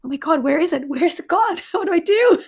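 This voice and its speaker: A woman guest on a live stream, talking casually with a hoarse, worn voice, laughing often and using lots of ums. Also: extremely high pitch, expressive, moderate pace. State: hoarse, recovering voice. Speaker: guest developer advocate.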